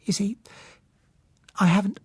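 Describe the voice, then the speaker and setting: A man's voice: measured pace, no room sound, clean studio sound, lightly acted. A man voicing a timid, faltering eighteen-year-old blind young woman in an audiobook, speaking in a hesitant, pleading way. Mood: gentle pleading. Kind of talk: audiobook character dialogue.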